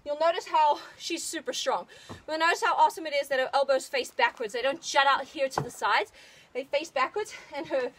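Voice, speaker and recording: High, loud-voiced woman coaching a workout. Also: brisk, poor audio. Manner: upbeat, counting down and cheering on. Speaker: fitness trainer. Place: outdoors in the sun. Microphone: phone.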